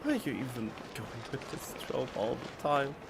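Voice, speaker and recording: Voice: mid-range voice, big pitch swings, normal speed, vocal fry, a little hiss or hum. A game streamer giving casual live commentary on a video game.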